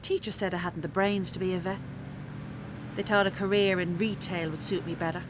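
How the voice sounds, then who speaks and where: A woman with an Irish accent playing a weary, sharp-tongued working-class mother in a radio drama, wry and blunt. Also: on the low side, fairly even intonation, fairly quick, close to an everyday voice. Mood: wistful. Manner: wry, blunt, earthy talk. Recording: clearly noisy, traffic and ambience behind.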